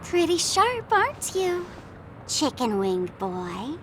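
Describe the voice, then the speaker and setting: High-pitched, mischievous trickster girl. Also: huge pitch swings, slow, a bit bright, a little hiss or hum, full character voice. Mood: taunting, playful. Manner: teasing, theatrical, mocking. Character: mischievous young female trickster, taunting and playful. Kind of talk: dramatic video game voice acting.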